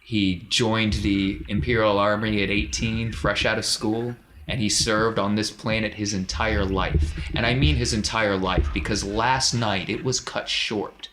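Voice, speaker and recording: A man playing a stern young Imperial captain who delivers a solemn, deliberate propaganda address in a tabletop game. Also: fairly low pitch, fairly even intonation, a bit bright, a little hiss or hum.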